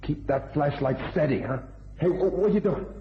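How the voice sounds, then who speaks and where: An actor playing a tense, short-tempered ex-convict in a radio thriller, speaking in a curt, halting way. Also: male voice, on the high side, lightly acted. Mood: wary, tense. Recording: tinny band-limited radio recording, faint noise.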